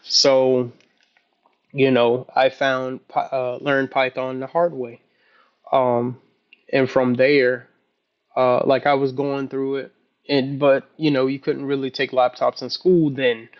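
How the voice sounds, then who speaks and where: A man in his early thirties talking casually in a halting, rambling way, as if keeping a personal video journal. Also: mid-range voice, little pitch movement, highs cut off. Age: early 30s. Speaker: vlogger.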